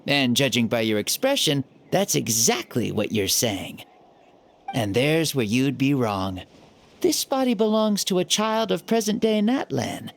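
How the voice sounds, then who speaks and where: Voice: mid-range voice, lively intonation, measured pace, vocal fry. An actor voicing a playful ancient hero spirit in a young boy's body, cocky and theatrical, for a video game. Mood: assured.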